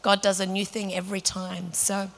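Raspy-voiced woman leading a church gathering. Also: medium pitch, completely flat delivery, moderate pace, long reverb tail. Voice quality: raspy today. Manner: warm, chatty, many fillers and restarts. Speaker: women's ministry leader. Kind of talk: informal sermon and prayer to a women's gathering.

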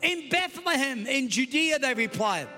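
Aussie pastor guy, high bright voice, soft music behind, big echoey church. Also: animated, unhurried. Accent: Australian. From United States. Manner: emphatic, dramatic swings between loud and soft. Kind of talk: Christmas Eve sermon. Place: large church hall. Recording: long hall reverb.

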